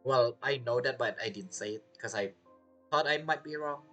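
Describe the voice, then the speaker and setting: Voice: medium pitch, normal speed, fairly bright tone. A game streamer giving casual playthrough commentary in choppy, non-native phrasing. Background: game music.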